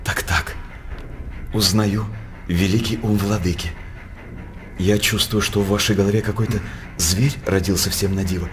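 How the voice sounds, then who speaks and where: A man's voice: fairly low pitch, steady tone, moderate pace, pauses often, close to an everyday voice. An actor playing a sly, fawning courtier, a king's sardonic confidant, in a theatrical radio drama. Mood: sly, flattering. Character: sly, flattering courtier, mocking confidant of a king. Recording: noisy background on radio drama recording.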